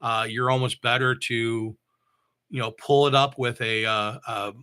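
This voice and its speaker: Guy chatting off the cuff about gear, bright forceful voice, keeps stopping and repeating himself. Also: mid-range voice, level tone, smooth. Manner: halting, repeats and thinks aloud while reading specs. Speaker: mobile DJ reviewing audio gear. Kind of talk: casual unscripted product talk.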